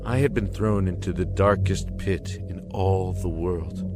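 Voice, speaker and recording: Low-pitched man narrating a somber dramatic story. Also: measured pace. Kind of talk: scripted first-person dramatic storytelling. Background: music.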